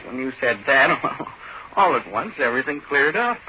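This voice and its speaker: An actor playing an excitable, nervous middle-aged businessman in 1940s radio drama, stammering and protesting as he talks. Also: mid-range voice, moderate pace, natural voice. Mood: amused, indignant. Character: excitable bald pot-bellied little businessman, nervous and incredulous. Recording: dull, noisy 1940s radio recording.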